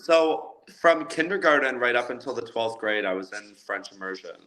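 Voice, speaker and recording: A man's voice: mid-range voice, lively intonation, normal speed, pushed and bright, poor audio. A Canadian man chatting casually as a guest, easygoing and laughing lightly now and then. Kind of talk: casual interview chat.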